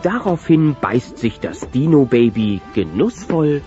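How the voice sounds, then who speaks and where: A man's voice: mid-range voice, big pitch swings, a little slow, over music. A man narrating a radio play as a scripted storyteller. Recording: dull cassette transfer.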